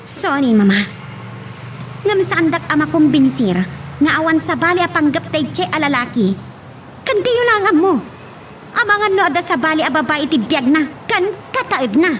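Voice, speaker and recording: A woman's voice: sits high, animated, normal speed, a bit bright. An actress playing an indignant daughter in a radio soap, challenging and reproachful toward her mother. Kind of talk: radio soap drama acting. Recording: background noise.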